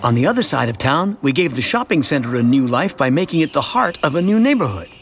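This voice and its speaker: Middle-aged male as an earnest suburban dad narrating a cartoon short. Slightly high, lively pitch, chatty delivery. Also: fast, slight background noise. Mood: upbeat, proud. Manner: conversational, expressive storytelling. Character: chatty, earnest suburban family man telling his town's story. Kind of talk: scripted first-person narration for an animated educational short.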